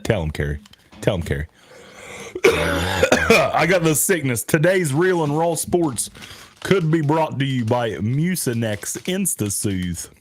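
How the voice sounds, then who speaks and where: A man with a raspy voice, clearly under the weather, trading casual and profane banter on a sports podcast. His delivery is loud and joking, and he sits in a small studio. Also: medium pitch, sing-song, takes it slowly, a little hiss or hum. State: sick with a raspy voice. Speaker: podcast co-host.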